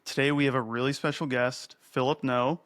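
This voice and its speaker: A man's voice: mid-range voice, level tone, fairly quick, fairly bright tone. A man in his thirties hosting a podcast from a home studio on a broadcast mic, reading a scripted introduction and then chatting in a friendly, upbeat way. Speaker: podcast host. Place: home studio with shelves, indoors. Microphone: broadcast mic on arm.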